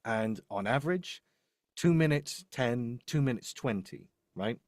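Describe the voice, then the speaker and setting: A man's voice: mid-range voice, expressive, unhurried, a little mellow, dry recording, studio quality. A man speaking off the cuff as a demo producer giving advice, emphatic, repeating his points and often checking in with "right?". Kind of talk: live coaching talk, unscripted.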